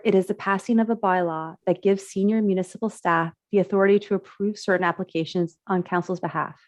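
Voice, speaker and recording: A woman on municipal staff, getting over a cold and sounding raspy, presenting slides at a committee meeting in measured, prepared remarks. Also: on the low side, a little mellow, no room sound. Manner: measured, reads prepared remarks, clears throat.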